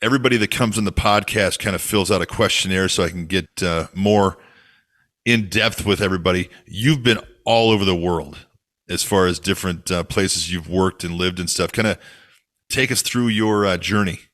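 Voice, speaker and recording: Informal male host, lower voice, easygoing with his questions. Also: moderate pace, a bit bright. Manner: friendly, informal, with fillers. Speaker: podcast host. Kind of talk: casual interview hosting.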